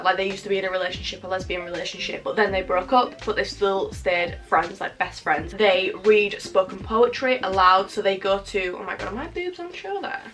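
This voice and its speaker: A young woman in her twenties, hoarse and losing her voice, chatting casually and gushing through a book review with plenty of fillers. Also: medium pitch, a bit bright. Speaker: book vlogger.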